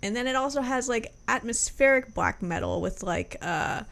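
A woman hosting a casual music review chat, relaxed and loose, swearing offhand between fillers. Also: fairly high pitch, normal speed, gravelly. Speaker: music review podcast host.

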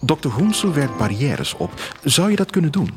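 An insistent male therapist acted out by a man reasons persuasively in a radio drama. His voice is pushed higher and moves emphatically up and down, with music underneath. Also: sing-song, normal speed.

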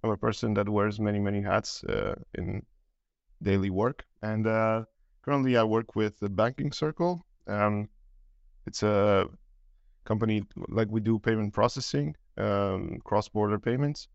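A man's voice: lowish voice, measured pace, gravelly, dry recording, dull audio. A man from Romania talking casually as a podcast guest, with loose filler words. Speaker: guest, tech lead. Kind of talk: casual panel talk.

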